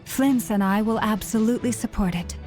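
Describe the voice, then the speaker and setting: An actress playing a composed young leader of a devout order, speaking formally and courteously. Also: female voice, a little high, fairly quick, lightly acted. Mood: calm, serious. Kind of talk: English game dub acting. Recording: music behind the voice.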